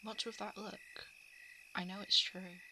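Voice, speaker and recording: A woman playing a shapeshifting monster who poses as a lovelorn crush in an ASMR roleplay, speaking softly and hesitantly, often slipping into whispers. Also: mid-range voice, level tone, measured pace, noisy background, lightly acted. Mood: tender coaxing. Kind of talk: ASMR roleplay, intimate confession. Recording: night insect and frog ambience. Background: crickets, frogs and insects.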